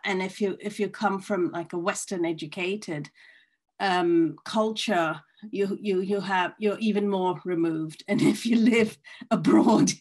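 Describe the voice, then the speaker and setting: A woman from India speaking as a panelist on a video call, hesitant, with many restarts. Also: mid-range voice.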